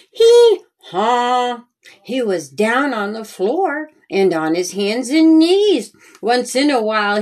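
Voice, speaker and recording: A woman's voice: on the high side, breathy edge. A woman hosting a storytime and reading her own children's story aloud, speaking simply and directly to young listeners. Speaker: children's story author and reader.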